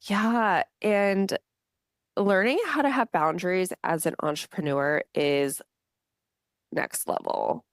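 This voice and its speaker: A woman guest on a podcast, talking in a chatty, animated way with lots of "like". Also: on the low side, slow, no echo, clean studio sound. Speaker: podcast guest, business owner. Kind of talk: casual podcast conversation.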